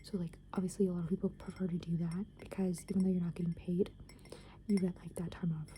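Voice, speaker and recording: Whispering young woman, very mellow. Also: on the low side, flat delivery, fast talker, a little hiss or hum. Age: young adult. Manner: soft unvoiced whisper, rambling with many fillers. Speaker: ASMR creator. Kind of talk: whispered ASMR soft rambling.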